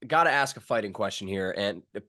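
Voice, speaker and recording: A man's voice: on the low side, animated, fairly quick, bright, forceful tone. A man hosting a casual sports interview, asking informal, rambling questions full of slang. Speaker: sports show host interviewing a fighter.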